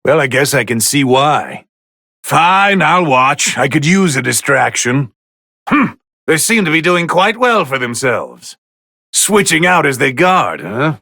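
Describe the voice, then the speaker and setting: A man playing a haughty, bored god of destruction who commentates on video game fights in a condescending, sardonic, theatrical drawl with a gravelly edge. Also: a little high, pushed and bright, no room sound, clean studio sound, full character voice. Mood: amused approval. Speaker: match commentator.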